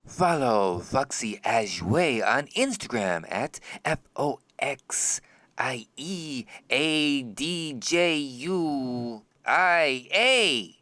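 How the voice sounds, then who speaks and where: Very slow, low-voiced woman announcer. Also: expressive, a bit bright, no echo. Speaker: announcer of social media plug. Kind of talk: promo announcement, spelling out slowly.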